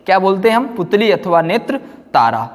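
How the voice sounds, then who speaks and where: High-voiced man teaching, echoey room. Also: very animated. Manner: repeats phrases slowly for note-taking, asks and answers own questions. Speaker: physics teacher. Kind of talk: classroom lecture, explaining and dictating notes. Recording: echoey room with long reverb.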